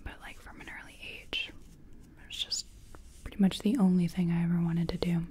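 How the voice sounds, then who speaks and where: A woman playing a gentle, chatty makeup competition contestant in a soft-spoken, whispery ASMR personal attention role-play. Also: fairly low pitch, little pitch movement, natural voice. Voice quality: breathy, whispery. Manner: gentle, unhurried, chatty, reassuring. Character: friendly, self-taught makeup competition contestant.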